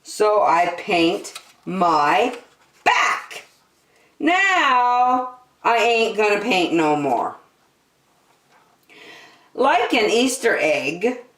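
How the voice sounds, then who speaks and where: A woman hosting a children's story time, reading a picture book in an animated, sing-song way. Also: medium pitch. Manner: slow, animated delivery addressed to young children. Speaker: children's storytime host and reader. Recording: roomy echo, distant microphone.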